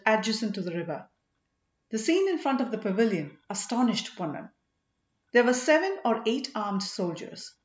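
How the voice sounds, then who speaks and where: A woman reading a story aloud as scripted audiobook narration. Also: mid-range voice. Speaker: audiobook reader. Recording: roomy, slightly dull home recording.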